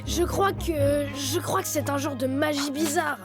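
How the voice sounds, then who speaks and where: A woman's voice: high-pitched, fairly even intonation, over music. A woman voicing a timid, touchy young tiger boy in a cartoon dub. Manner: timid, quick to take offense. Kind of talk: French cartoon dubbing.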